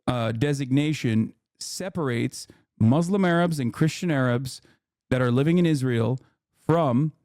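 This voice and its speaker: A man from Turkey, a native Turkish speaker, hosting a live debate and explaining at length in a patient, deliberate way. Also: medium pitch, a little mellow, dry recording, studio quality.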